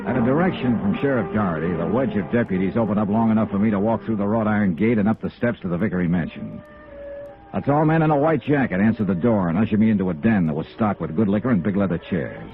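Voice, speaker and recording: An American actor playing a cool, defiant insurance investigator in 1950s radio noir, with a clipped, needling delivery. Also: male voice, on the low side, lively intonation, fast, phone-quality sound, faint music behind. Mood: dry narrating. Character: hard-boiled male freelance insurance investigator, cool and defiant. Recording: band-limited vintage radio recording.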